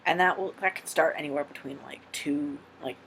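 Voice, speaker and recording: A man's voice: a little high, steady tone, fairly quick, a bit bright, a little hiss or hum. A young man casually answering viewer questions on his vlog, frank and rambling, swearing freely. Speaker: vlogger.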